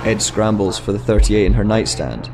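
A man's voice: fairly low pitch, expressive, normal speed, heavy background noise. A man reading a scripted short story as a dramatic narrator. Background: music.

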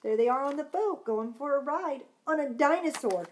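Middle-aged woman, expressive storytime reader, very varied intonation, child-directed asides. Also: high voice, moderate pace. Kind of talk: expressive storybook read-aloud with chatty asides. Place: living room at home.

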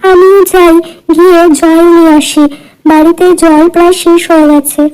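A woman acts a humble but proud village wife in a cartoon fairy tale. Her high, mellow voice is gentle and plain-spoken, over light music. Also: flat delivery, moderate pace, poor audio. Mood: cheerful. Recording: music under the voice.

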